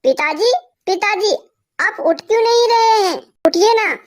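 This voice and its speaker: A woman voicing a young orphaned female bird in a cartoon, with a high childlike voice and plaintive, pleading delivery. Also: a little slow. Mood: anxious. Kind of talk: Hindi cartoon dubbing.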